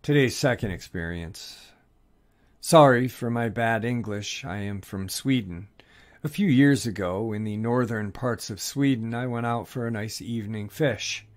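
A man narrating listeners' first-person encounter stories in a steady, measured read. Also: medium pitch.

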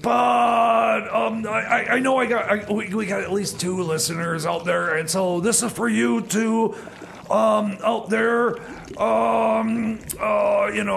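An actor playing a stammering, insecure, sarcastic radio DJ in a comedy radio show, talking in halting, repeated words. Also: male voice, high voice, gravelly, fairly bright tone, a little hiss or hum, heavily acted. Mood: hesitant, sour. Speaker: radio music show host. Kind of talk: comedy radio theater banter.

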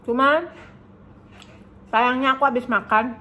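A woman in her thirties from Indonesia, chatting casually through a food review and humming while she eats, filmed in a bright living room by a camera on the table. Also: on the high side, measured pace. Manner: chatty, savoring, hums while eating. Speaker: food vlogger. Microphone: camera on table in front.

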